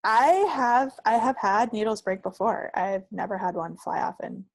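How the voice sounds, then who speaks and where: Woman, gravelly and slightly raspy, friendly casual podcast co-host, dry close sound. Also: mid-range voice, normal speed, no echo. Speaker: co-host of a knitting and crafts podcast. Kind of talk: casual unscripted podcast chat.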